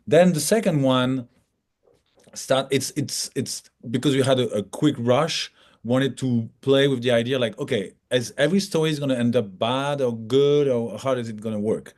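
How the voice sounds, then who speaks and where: A man's voice: medium pitch, moderate pace, a little mellow. A man from France, a native French speaker, answering as a filmmaker in a casual, colloquial English interview with an explanatory manner. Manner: explanatory, colloquial, acts out hypothetical remarks.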